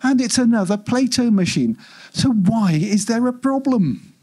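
A man's voice: very high-pitched, lively intonation, unhurried, warm tone, husky, voice pushed up, exaggerated voice. A man voicing an exasperated, self-important home AI in a live story reading, complaining indignantly and emphatically.

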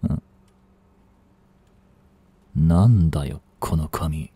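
A man acting as a cynical, aloof young street delinquent in a voice drama, with rough, casual, lazily teasing speech, close to the mic. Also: a bass voice, extremely slow, warm tone, dry recording, exaggerated voice. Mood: aloof, dismissive. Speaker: voice actor. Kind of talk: Japanese voice drama aimed at women, one-sided dialogue. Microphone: close studio mic. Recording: dry close-miked studio recording.

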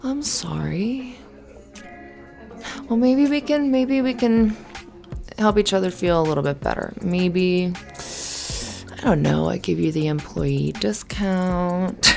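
Woman playing a flustered, flirty barista, low creaky voice. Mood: tender, shy. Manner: giggly, halting, rambling with repeated restarts. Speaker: ASMR roleplay voice performer. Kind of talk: intimate ASMR roleplay, improvised-sounding chatter. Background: soft music.

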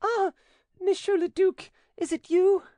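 A man voicing an excitable, easily frightened young French damsel in a high, breathless voice for an audiobook. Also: measured pace, dry recording, studio quality. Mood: alarmed. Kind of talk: audiobook reading.

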